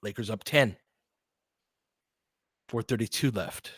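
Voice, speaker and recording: A man's voice: fairly low pitch, slightly rough, no echo, clean studio sound. Man calling basketball play-by-play. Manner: excited bursts with quick laughs. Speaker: play-by-play commentator. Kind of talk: fan play-by-play commentary.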